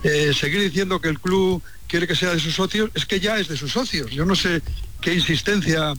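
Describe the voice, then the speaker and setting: A man speaking on a podcast, hesitant and deliberate. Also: on the high side, bright, forceful tone. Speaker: former club president. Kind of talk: podcast interview remarks.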